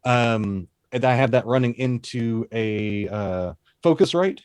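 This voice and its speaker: A man with a low, even voice talks through his gear in a relaxed, rambling way. He sounds close to a studio microphone in a dry, dead room at home. He is an esports caster chatting on a video call. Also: takes it slowly, clear, resonant tone, dry recording. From Texas. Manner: easygoing, detailed, rambling explanations. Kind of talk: casual interview chat over video call. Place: home broadcast setup room. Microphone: studio dynamic mic on video call.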